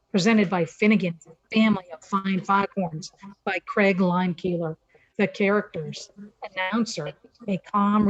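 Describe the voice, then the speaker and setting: A woman announcer reading a scripted radio show introduction, recorded in slightly dull sound. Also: mid-range voice.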